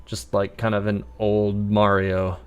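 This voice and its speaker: Low-voiced man doing casual game commentary. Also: completely flat delivery, a little slow, clear, resonant tone, muffled recording. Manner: wry, musing aloud. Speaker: game livestreamer. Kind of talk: casual live gameplay commentary.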